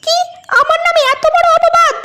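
A woman's voice: very high voice, level tone, moderate pace, very noisy. A woman voicing a quarrelsome village wife in a comedy cartoon, indignant and scolding. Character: shrill, offended village wife, fiery and quarrelsome.

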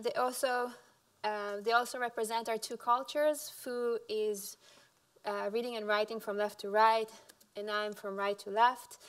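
A woman architect from Israel presents slides at a podium in an auditorium, speaking in a halting way. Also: mid-range voice. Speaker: architect giving a talk. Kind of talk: lecture with slides. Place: dark auditorium stage at a podium.

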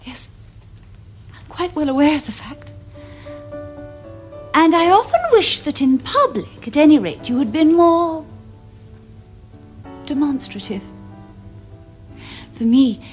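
A woman's voice: sits high, expressive, unhurried, pauses often, a little mellow, soft background music. A young woman with an upper-class English accent playing a poised, self-assured Victorian society lady in a period comedy, precise and affected. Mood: romantic ardour. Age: young adult. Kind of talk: period stage comedy acting.

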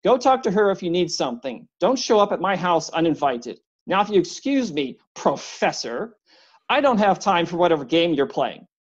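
A woman's voice: lowish voice, sing-song, moderate pace, highs cut off. A woman doing a snappish, suspicious man. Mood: curt suspicious. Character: irritable wary male historian.

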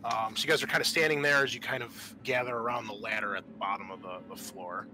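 Bright-voiced man running a tabletop game. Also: lowish voice, steady tone, poor audio. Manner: halting, conversational. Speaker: game master. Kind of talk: improvised tabletop game narration. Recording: faint background noise.

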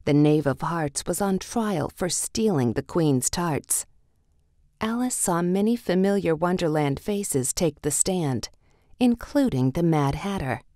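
A woman with a low voice narrates a children's storybook. She reads the scripted text slowly, in read-along style, and the recording is very dry and close. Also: expressive, mellow, no room sound.

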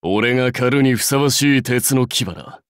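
A man's voice: medium pitch, normal speed, clean tone, dry recording, clean studio sound, close to an everyday voice. Man acting as proud, brusque samurai warlord. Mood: confident, dry. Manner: curt, commanding, self-assured. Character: proud, brusque warlord rider devoted to his clan. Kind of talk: Japanese mobile game character lines.

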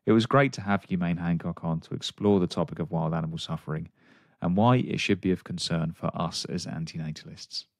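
Male podcast host, low register, dry recording, interview delivery. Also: steady tone, a little fast, no echo.

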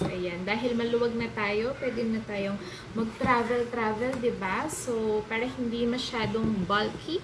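A young Filipina woman vlogging at home, chatting casually about products and mixing English into her Tagalog. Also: medium pitch. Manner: chatty, mixes English into Tagalog, addresses viewers as guys. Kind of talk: casual product review chat. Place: home, indoors. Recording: noisy home recording.

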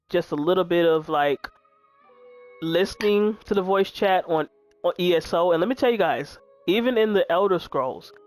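A woman in her twenties with a deep voice, chatting candidly to her viewers while she plays a video game. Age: 20s. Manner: frank, chatty, talks straight to viewers. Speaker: gaming YouTuber.

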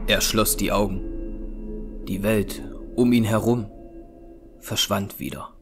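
Guy narrating an audio drama, lowish voice, solemn and measured, music behind him. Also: steady tone, creaky. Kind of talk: scripted dramatic story narration.

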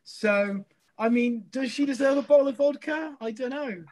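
A young man co-hosting a casual podcast over a video call from his bedroom, slightly hoarse from a cold, chatty and excitable. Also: very high voice, moderate pace. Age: young adult. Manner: excitable, chatty, laughs easily. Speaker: podcast co-host and rugby referee. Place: bedroom at home. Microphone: laptop webcam on video call.

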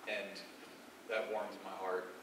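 Echoey noisy stage recording, male actor as humbled big fisherman apostle, earnest, halting, reflective, emphatic monologue. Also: sits low, flat delivery, distant mic. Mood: awed, reverent. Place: dark theatre stage, recorded from the audience. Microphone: far camera.

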